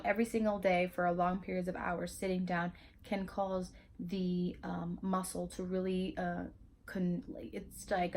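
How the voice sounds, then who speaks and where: A woman's voice: mid-range voice, darkish tone. A young woman with a Spanish accent talking frankly and casually to her viewers, cursing freely and stumbling through fillers and restarts. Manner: frank and chatty, swears, many fillers and restarts. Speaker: vlogger. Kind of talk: casual educational monologue.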